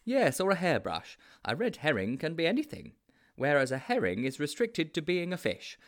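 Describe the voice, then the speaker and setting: A man's voice: fairly high pitch, wildly swooping pitch, moderate pace, fairly bright tone, voice pushed up. A man voicing a self-important, adventurous would-be detective in a storybook, explaining things in a pompous, emphatic way. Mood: pedantic. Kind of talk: storybook character voice.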